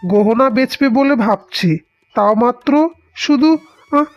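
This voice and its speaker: A woman voicing a gentle, troubled father in cartoon dialogue, with a dramatic, emotive delivery. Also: on the high side, slow, muffled recording, faint music behind. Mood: moved, amazed.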